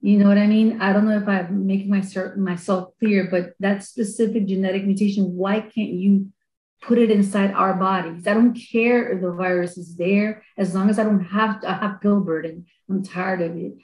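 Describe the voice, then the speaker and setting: Brief: middle-aged woman activist from Colombia, dark mellow voice, echoey room on a video call. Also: medium pitch, fairly even intonation. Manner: earnest, quick bursts, many fillers. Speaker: activist asking a question. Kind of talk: webinar audience question. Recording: roomy echo on video call.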